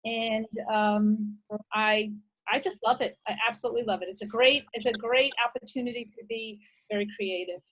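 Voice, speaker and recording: A woman's voice: on the high side, steady tone, normal speed, poor audio. A woman from New York, a teacher, introducing herself enthusiastically on a video call. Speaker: teacher and part-time cookie seller. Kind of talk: casual video-call chat.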